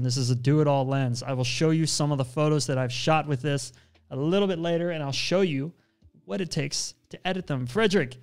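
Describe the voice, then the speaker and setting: A young man hosting a casual live photo-editing stream, upbeat and slangy, chatting with viewers on a boom-mounted studio mic in a small home studio. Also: smooth. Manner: upbeat, slangy, chatty, reads chat aloud. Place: home studio with brick wall, indoors. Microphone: studio mic on boom arm, headphones.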